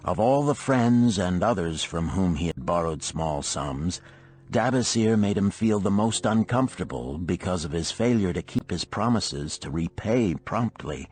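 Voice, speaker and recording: A man's voice: low-pitched, lively intonation, measured pace, a little mellow, husky, soft background music. A man reading a parable aloud as scripted audiobook narration.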